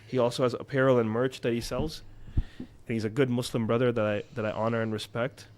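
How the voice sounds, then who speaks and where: A man hosting a podcast, talking in a casual, animated way with frequent restarts. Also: mid-range voice, a little hiss or hum. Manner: animated, quick storytelling with many restarts. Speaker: podcast host. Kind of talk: casual interview chat.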